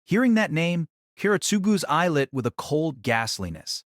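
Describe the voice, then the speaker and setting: Narrator for an audio drama: man, slightly high voice, very varied melody, echo-free sound. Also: big pitch swings, normal speed, a bit bright, studio quality.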